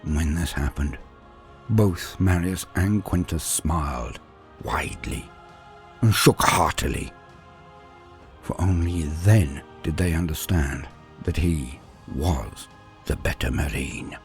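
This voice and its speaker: A man giving a solemn, theatrical, deliberate narration of a scripted war story. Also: a bass voice, soft background music. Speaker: narrator of fan fiction war tales.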